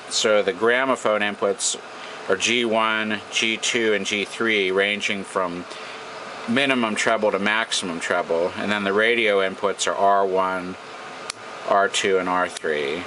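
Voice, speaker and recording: American male hobbyist, low voice, halting informal demonstration, clear background hum. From United States. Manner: halting, thinking aloud. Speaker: radio repair hobbyist. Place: indoor workbench. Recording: noisy room, steady background hum.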